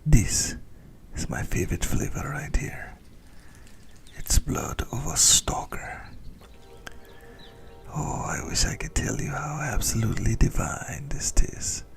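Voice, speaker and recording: A man voicing an obsessive, doting vampire in an intimate ASMR roleplay, with a breathy whisper and theatrical, adoring relish. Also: mid-range voice, expressive, slow, faint music behind. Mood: rapturous, savoring. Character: obsessive lovesick male yandere vampire, doting, gleefully indulgent.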